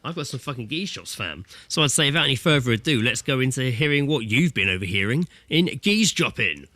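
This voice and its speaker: Bright-voiced British man, sweary podcast co-host. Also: medium pitch, lively intonation, a little fast. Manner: sweary, jokey. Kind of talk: casual banter over a call. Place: indoors, recording remotely over Skype. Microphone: close podcast microphone.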